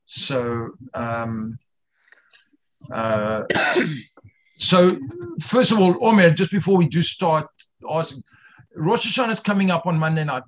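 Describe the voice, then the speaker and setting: Higher-voiced man teaching a class. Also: expressive, slight background noise, poor audio. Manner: halting, repeats himself, rhetorical questioning. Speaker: teacher of an online religious class. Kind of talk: informal interactive teaching with questions to students. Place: online video class.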